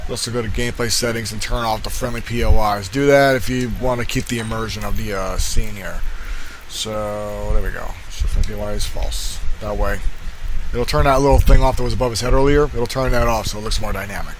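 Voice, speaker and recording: A man's voice: fairly low pitch, steady tone, brisk. A man giving an informal video game tutorial, talking himself through each step as he works. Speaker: tutorial host. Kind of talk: casual screen-capture game tutorial, thinking aloud. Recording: clear background noise.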